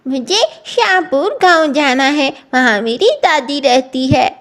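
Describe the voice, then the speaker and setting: एक महिला की आवाज़ में ऊँची आवाज़ वाला मासूम, चिंतित छोटा लड़का. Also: female voice, high voice, a little slow, fairly bright tone. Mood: earnest. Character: young village boy Sultan, earnest and anxious. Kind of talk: Hindi moral story cartoon dialogue.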